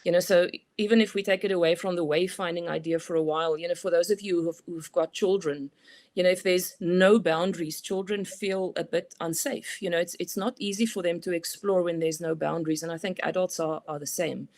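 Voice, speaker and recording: A woman's voice: fairly low pitch, fairly even intonation, fairly quick, darkish tone. A middle-aged woman with a South African accent, a guest expert explaining her ideas in a thoughtful, conversational way with many "you know" fillers. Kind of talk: conversational interview.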